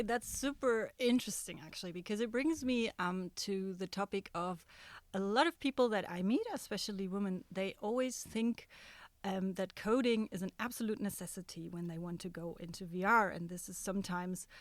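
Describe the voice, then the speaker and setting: Woman podcast host, middle pitch, friendly and upbeat, dry mic. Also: normal speed, dry recording. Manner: friendly, upbeat, with frequent fillers. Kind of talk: casual interview hosting.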